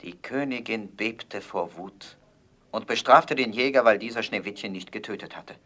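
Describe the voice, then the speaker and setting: A man narrating a fairy tale in a scripted audio play with measured storytelling. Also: fairly low pitch, pushed and bright.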